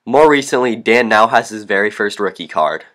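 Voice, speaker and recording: Teen male student reporter, lowish voice, scripted news read. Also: animated, normal speed, a bit bright. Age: teens. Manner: reads from a script.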